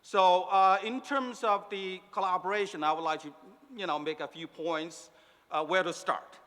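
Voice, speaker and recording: A middle-aged man from China speaks at a conference panel, stopping often with fillers and restarting phrases. His voice is fairly high and bright. A long hall reverb trails each phrase. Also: fairly even intonation. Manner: halting, many fillers and restarts. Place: speaking remotely into a large event hall.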